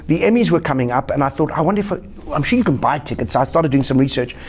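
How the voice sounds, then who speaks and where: Fast-talking South African comedian. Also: male voice. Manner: animated anecdotes with lively pitch jumps. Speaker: guest comedian. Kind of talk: casual interview storytelling.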